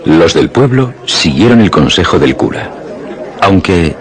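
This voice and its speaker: A man's voice: deep voice, sing-song, measured pace, some chatter behind. A man narrating a radio drama from a script in a dramatic style. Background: music.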